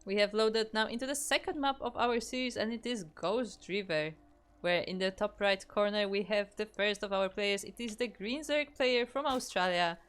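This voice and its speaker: A woman's voice: mid-range voice, moderate pace, fairly bright tone, clear, resonant tone. A woman from Poland with a Polish accent, casting a live game tournament in a chatty way, often restarting her sentences. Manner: chatty, reads out chat, frequent restarts. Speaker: esports caster.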